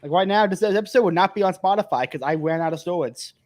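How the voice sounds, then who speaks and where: Chatty guy hosting a live podcast, talks fast, a bit high, kinda tipsy, laughs a lot. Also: slight background noise. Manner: chatty, laughs often, rambling. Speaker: podcast host. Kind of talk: casual chat.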